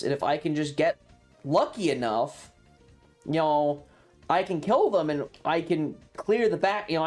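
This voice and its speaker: Casting: streamer with a medium-pitched voice, casual and profane, emphatic dramatic storytelling for a chat audience, music underneath. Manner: emphatic, repeats phrases for drama; casual, swearing. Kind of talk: animated storytelling to a livestream chat about a tabletop game.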